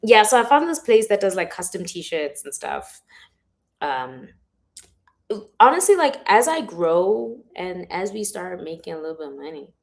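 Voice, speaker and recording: A young woman chatting casually on a livestream, rambling and giggly, her voice a little hoarse and lower than usual. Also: very animated, measured pace. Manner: chatty, rambling, giggly, uses filler words a lot. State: voice going hoarse. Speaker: author hosting a livestream. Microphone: phone or webcam in a livestream.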